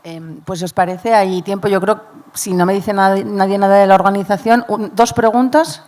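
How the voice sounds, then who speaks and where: A woman's voice: mid-range voice, fairly even intonation, moderate pace, a little mellow, a little hiss or hum. A woman moderating a question round in an informal way, thinking aloud as she hands out turns. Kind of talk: casual moderating of a Q&A.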